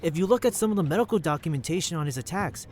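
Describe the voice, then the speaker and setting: An eager police analyst played by a man in an audio drama. His voice is high for a man, earnest and technical, over faint ambient noise. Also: lively intonation, rapid-fire, darkish tone, close to an everyday voice.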